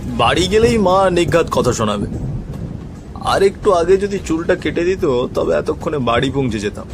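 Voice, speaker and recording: A man's voice: fairly high pitch, big pitch swings, normal speed, music in the background. A man playing an impatient, fretful young village bridegroom in a cartoon, pleading and complaining. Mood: grumbling. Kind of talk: cartoon drama acting.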